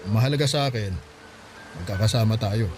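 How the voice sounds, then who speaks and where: A man's voice: lowish voice, fairly quick, faint music behind, lightly acted. An actor playing a devoted, weary middle-aged single father, earnest and tenderly protective, in a Filipino radio drama. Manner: earnest, fatherly, heartfelt advice-giving.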